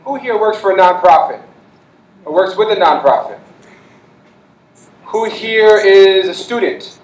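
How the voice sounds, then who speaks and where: A man moderates a panel in a high voice, energetically putting questions to the audience. He sounds far from the mic, with the echo of a big hall around him. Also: unhurried. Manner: energetic, addresses the crowd. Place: large conference hall.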